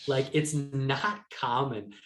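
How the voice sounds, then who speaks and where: Man, thirties, mid-pitched voice, casual interview talk, emphatic slangy delivery, echoey room. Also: slow pace, slight background noise. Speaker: podcast guest, entrepreneur and author. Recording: roomy, echoing sound.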